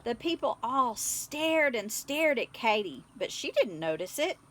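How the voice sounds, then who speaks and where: Female storybook reader, expressive delivery, high pitch, bright tone. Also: a little slow. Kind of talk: expressive scripted children's story narration.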